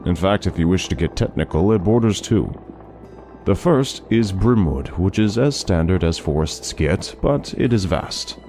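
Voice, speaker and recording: A man playing a courteous, learned, melancholy traveling scholar in a fantasy audio drama, speaking in measured, formal phrases with music behind him. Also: low voice, expressive, dark tone. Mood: genial, instructive. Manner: measured, formal, articulate storytelling.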